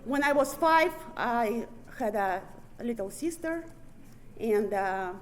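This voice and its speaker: A woman's voice: on the high side, animated, slow pace, slight background noise. A woman with a Russian accent, born in Ukraine and raised in Siberia, giving a candid recovery testimony to a meeting room, swearing freely and laughing often. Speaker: recovery meeting main speaker. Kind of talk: personal recovery testimony speech.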